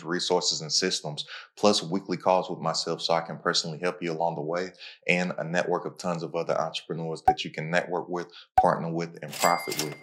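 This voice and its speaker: A man hosting a podcast in an energetic, informal, motivational way. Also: slight background noise. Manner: energetic, informal, pitches his own program. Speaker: podcast host. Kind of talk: casual motivational podcast talk.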